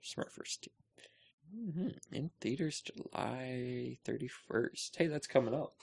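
A man co-hosting a podcast in casual banter, goofy and excitable. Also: mid-range voice, slow pace, creaky, breathy edge. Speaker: podcast host.

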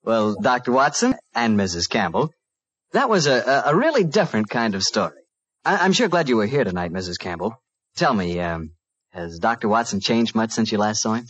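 An American man hosting and announcing in a 1940s radio style, with a husky voice and a smooth-flowing sales patter. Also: fairly low pitch, sing-song, fairly quick, fairly bright tone, no echo. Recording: dull, lo-fi old radio recording.

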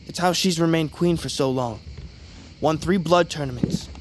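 Actor as proud young warrior brother, slightly high male voice, playful, confident, fantasy audio drama, faint background ambience. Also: normal speed, background noise, close to an everyday voice.

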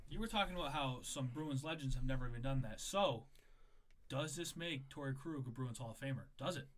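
A young man hosts a casual podcast in a brash, joking way, swearing freely. He talks fast, with faint room ambience behind him. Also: mid-range voice, a bit bright, slight background noise. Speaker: podcast host.

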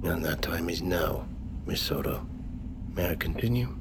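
A stern expedition boss, really low voice, measured and formal, audio drama thing. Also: male voice, background noise. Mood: controlled, firm. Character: stern, controlled expedition leader, a grieving father with a theory.

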